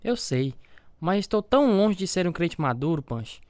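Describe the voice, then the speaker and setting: A man's voice: high-pitched, big pitch swings, moderate pace, a little mellow, dry recording, clean studio sound. A man acting as a devout, self-doubting family man, narrating and talking in an earnest, confessional way with a Brazilian accent in a religious radio drama. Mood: earnest, troubled.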